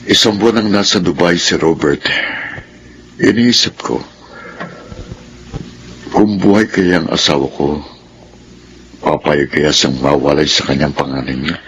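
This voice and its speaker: Super deep voiced filipino widower dad, pensive, solemn, radio drama. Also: male voice, steady tone, a little slow, long pauses, a bit bright, slight background noise, poor audio. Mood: wistful, pensive. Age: middle-aged. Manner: solemn, reflective. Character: middle-aged widowed father, pensive, longing, tender.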